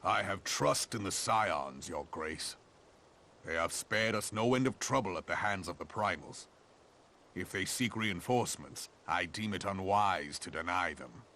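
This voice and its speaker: Low, forceful, loyal royal advisor. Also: male voice, lively intonation, a little hiss or hum, heavily acted. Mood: measured, reassuring. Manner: measured, deferential, firm. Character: steadfast, loyal male advisor and commander serving his queen. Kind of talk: dramatic fantasy game acting, formal archaic speech.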